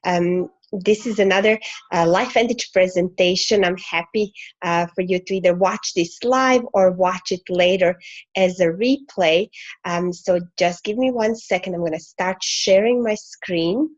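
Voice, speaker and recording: Woman from croatia hosting a zoom sales pitch, lots of ums, dry muffled call audio. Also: a little slow, dry recording. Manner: many fillers and restarts. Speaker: host of a sales presentation. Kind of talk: online business presentation. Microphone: video call.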